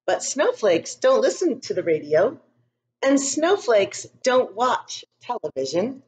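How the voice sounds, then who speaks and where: A woman hosting a read-aloud for young children, reading a picture book expressively and deliberately with chatty asides. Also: on the high side, very animated, a little mellow. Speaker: school read-aloud host.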